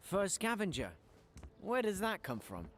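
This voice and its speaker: An actor playing an eager, curious young adventurer in a fantasy video game, casual and inquisitive. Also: male voice, high voice, huge pitch swings, a little slow, fairly bright tone, heavily acted. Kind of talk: video game cutscene acting.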